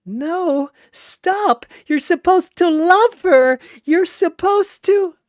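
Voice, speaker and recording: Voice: very high voice, extremely slow, dry recording, studio quality, pitched above the performer's own voice, full character voice. A narrator voicing a childish, manipulative young girl fighter with pleading, insistent delivery in a dramatic battle story. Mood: frantic, shrieking.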